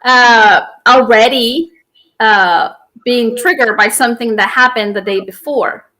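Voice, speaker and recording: A woman's voice: a little high, a little slow, bright, forceful tone, low-quality recording. A woman hosting a podcast interview, encouraging and affirming toward her guest. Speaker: podcast host. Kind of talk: conversational interview.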